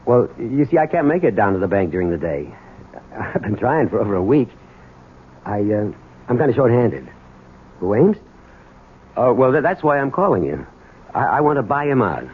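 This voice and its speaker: An actor playing a bitter, desperate husband and small business owner in a halting, stammering radio drama style. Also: male voice, mid-range voice, big pitch swings, slightly rough. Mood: nervous, pleading. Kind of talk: 1970s radio drama acting. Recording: tinny, noisy old radio broadcast.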